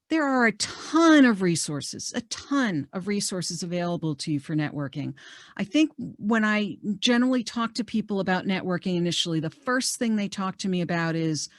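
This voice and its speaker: Woman coach doing a webinar from home, loud voice, lots of "you know"s, dead dry room. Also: mid-range voice, dry recording. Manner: conversational, lots of fillers and restarts. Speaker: career coach leading a webinar. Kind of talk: presenting a networking webinar. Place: home office on a video call.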